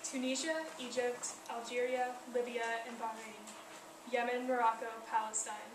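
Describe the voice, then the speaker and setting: A woman's voice: fairly high pitch, little pitch movement, moderate pace. A woman reciting poetry aloud as a reader at an event. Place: echoey indoor event room, recorded from far off. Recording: echoey room, distant mic, noisy.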